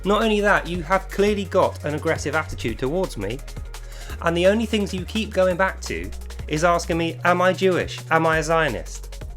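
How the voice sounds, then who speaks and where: A man from England with a British accent delivers a confrontational, insistent monologue as an online video creator, addressing one person directly with emphatic phrasing. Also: fairly high pitch.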